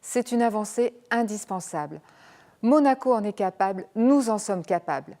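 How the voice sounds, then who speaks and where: Earnest woman with a mellow voice. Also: medium pitch, animated, normal speed. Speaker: candidate. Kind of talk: campaign statement.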